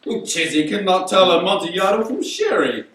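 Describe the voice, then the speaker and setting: A man playing a boisterous, proud, tipsy wine connoisseur in an amateur dramatic reading, with loud, drawn-out exclamations. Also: sits high, animated. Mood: eager, dismissive. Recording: echoey room, far from mic, faint hiss.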